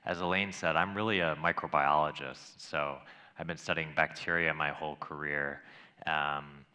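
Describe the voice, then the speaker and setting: Man, microbiologist, very low pitch, bright tone, explanatory, lots of ums. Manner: explanatory, with many ums and restarts. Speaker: microbiologist, panel guest. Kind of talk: explaining research at a panel event.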